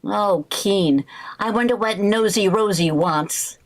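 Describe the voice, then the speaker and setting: A woman playing an excitable, scheming, flighty housewife in a 1940s-style radio comedy, theatrical and full of exclamations. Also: mid-range voice, takes it slowly. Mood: exasperated. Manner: theatrical, exclamatory, mock-innocent. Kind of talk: 1940s radio sitcom acting.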